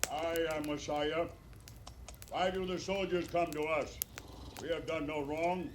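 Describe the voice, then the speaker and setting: Male actor as dignified, guarded chief, high male voice, solemn formal delivery, noisy vintage radio western. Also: fairly even intonation, unhurried, natural voice. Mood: proud, challenging. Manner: formal, declarative, no contractions. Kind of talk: old time radio western acting.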